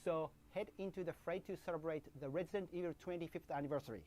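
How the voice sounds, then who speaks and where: A man's voice: fairly high pitch, quick pace, darkish tone, a little hiss or hum. A man, a game producer, reading a formal prepared video message to fans.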